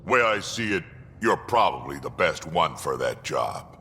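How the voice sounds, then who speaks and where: An actor playing an ancient, blunt alien mercenary and grandfather with a gravelly voice in a video game. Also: extremely low pitch, sing-song, measured pace, sharp tone, big-room sound, a little hiss or hum, heavily acted. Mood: gruff, distrustful. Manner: blunt, coarse. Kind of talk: video game character dialogue.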